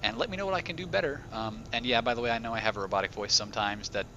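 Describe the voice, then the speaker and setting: A man's voice: medium pitch, racing, lo-fi sound, slight background noise. A man casting a strategy game match for beginners, explaining patiently with frequent fillers, his microphone sometimes making his voice sound robotic. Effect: occasional robotic microphone distortion. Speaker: game commentator. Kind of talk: beginner-friendly game commentary. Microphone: home microphone. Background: loud game music and battle sounds.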